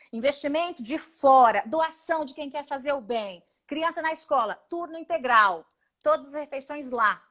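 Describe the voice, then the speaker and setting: Woman, 40s, Brazilian Portuguese accent, high voice, emphatic, indignant, list-like delivery, video call interview. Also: a bit bright. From Paraná, Brazil. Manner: emphatic, indignant, speaks in rapid lists. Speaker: congresswoman guest.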